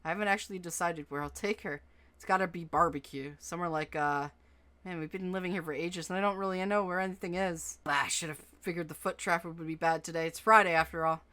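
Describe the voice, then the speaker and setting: A woman narrating as a soft-spoken, anxious young boyfriend in a first-person story. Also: fairly low pitch, fairly even intonation, quick pace. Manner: quiet, fretful, self-doubting.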